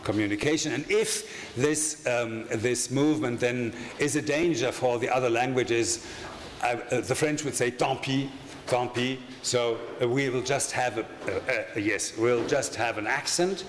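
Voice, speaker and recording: Older German-accented man lecturing. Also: medium pitch. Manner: halting, many fillers and restarts, wry asides. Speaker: conference speaker. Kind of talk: academic lecture. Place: lecture hall with projection screen. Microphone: desk microphone at a lectern. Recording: echoey hall with faint room noise.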